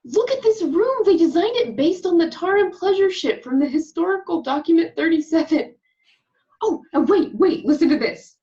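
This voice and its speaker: A woman playing an excitable, candid actress aboard a starship, expressive and conversational, in an amateur table read. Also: extremely high pitch, moderate pace. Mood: excited. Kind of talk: amateur remote table read of a sci-fi comedy. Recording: slightly dull, lo-fi home recording.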